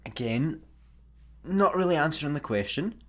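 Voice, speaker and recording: An actor with a Scottish accent playing a jumpy, sarcastic, bumbling satellite engineer in a sci-fi comedy radio play. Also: male voice, a little high, normal speed. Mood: exasperated. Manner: excitable, stammering, sarcastic.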